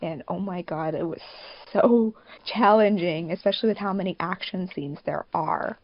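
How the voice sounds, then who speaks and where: A woman's voice: fairly low pitch, moderate pace, lo-fi sound. A woman in casual podcast chat as a co-host, peppering her sentences with "like". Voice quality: frequent "like" as a filler. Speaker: podcast co-host, fandub producer.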